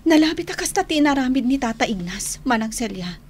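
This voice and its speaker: A woman's voice: high voice, sing-song, fairly quick, darkish tone, slight background noise. A woman with an Ilocano accent acting an inquisitive young lady in a radio drama. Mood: curious, concerned.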